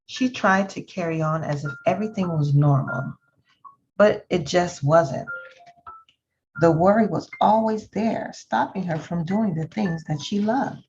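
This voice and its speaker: A woman's voice: lowish voice, unhurried, a little mellow, a little hiss or hum. A woman storyteller reading a children's picture book aloud, with a slightly dull recording. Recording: dull lo-fi audio with music. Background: faint music.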